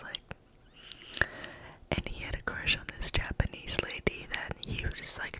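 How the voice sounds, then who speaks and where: A young adult whispering a rambling story in a casual way, full of fillers like "like" and "um". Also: poor audio. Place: staying on a chocolate farm in Hawaii.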